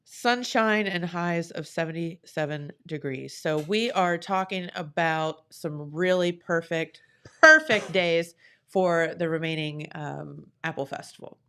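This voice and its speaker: A woman's voice: lowish voice, measured pace, sharp tone, clean tone. Cheerful local TV host, woman, bubbly chat and weather reads. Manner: bubbly, upbeat, laughs easily. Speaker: morning show host. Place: local TV studio.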